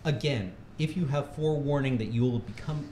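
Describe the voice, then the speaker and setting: A man reads a comic how-to piece aloud with mock-serious authority. His voice sits at an average male pitch. The room is echoey, with faint noise behind him. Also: fairly quick, mellow. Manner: mock-serious instructional delivery; often breaking into laughter. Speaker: reader at a charity livestream. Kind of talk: comedic reading of a humor article aloud.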